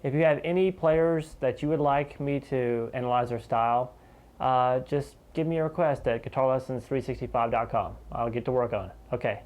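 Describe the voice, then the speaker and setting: A man teaching guitar in an informal, conversational way, explaining step by step and pausing as he points out each note. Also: medium pitch, darkish tone. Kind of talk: informal instructional lesson, demonstrating step by step.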